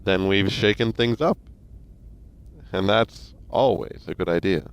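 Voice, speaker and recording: A man's voice: low voice, a little slow, clean tone. A man playing a blunt, decisive officer.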